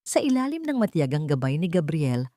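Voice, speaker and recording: A woman reading a scripted dramatic story as narrator, in a measured, solemn storytelling manner. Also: deep voice, big pitch swings, dark tone, dry recording, clean studio sound.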